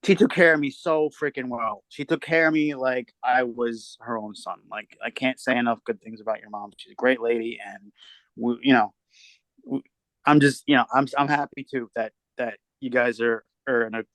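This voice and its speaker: A man's voice: mid-range voice, fairly even intonation, moderate pace, a bit bright, dry recording, poor audio. A man in his thirties, co-hosting a casual podcast chat, talking candidly and swearing now and then. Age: 30s. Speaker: podcast co-host.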